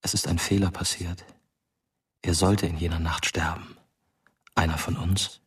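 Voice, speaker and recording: Very deep-voiced teenage ghost, plain-spoken. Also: male voice, little pitch movement, a little slow, husky, heavily acted. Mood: grave. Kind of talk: German radio drama narration.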